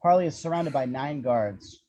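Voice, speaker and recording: A man's voice: medium pitch, lively intonation, moderate pace, warm tone. A man reading scripted action descriptions as the narrator of an amateur table read, in muffled audio.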